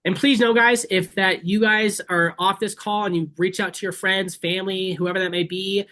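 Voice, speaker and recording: A man's voice: high-pitched, fairly even intonation, normal speed, pushed and bright. Male tour operator from Kenya, passionate and emphatic, informal online presentation style. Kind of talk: informal webinar sales pitch. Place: online video call.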